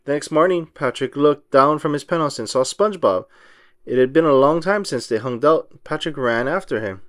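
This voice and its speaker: A man reading a children's story aloud in an expressive narrating style, acting out the dialogue as he goes. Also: on the high side, moderate pace, dry recording, clean studio sound. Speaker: storybook reader.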